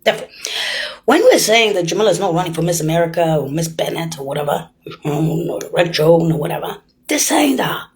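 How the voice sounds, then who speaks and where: An older woman in a rambling monologue, lurching between hushed whispers and loud, emphatic bursts as she accuses her listeners directly. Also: on the low side, very animated, fairly quick, slightly rough.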